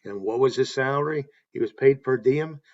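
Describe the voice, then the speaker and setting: A man hosting a tribute talk, reading anecdotes in a halting way and correcting himself as he goes. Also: mid-range voice, dull audio. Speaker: host paying tribute to a stadium announcer.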